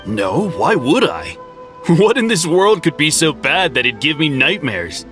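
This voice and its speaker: High-voiced, slow-talking game lawman. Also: sing-song, music in the background. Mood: breezy, dismissive. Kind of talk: anime-style video game acting.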